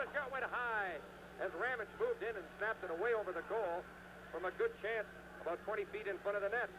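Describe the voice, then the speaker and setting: A man's voice: high-pitched, noisy background. A man calling hockey play by play on radio in clipped, urgent running narration, on a 1970s broadcast recording. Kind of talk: live radio sports commentary.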